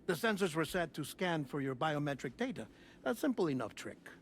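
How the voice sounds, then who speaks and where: A warm, nostalgic scientist leaves a recorded message. His voice sits a little high and he explains things formally and deliberately. Noise is audible behind him. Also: sing-song. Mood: matter-of-fact, explanatory. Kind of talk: video game cutscene acting.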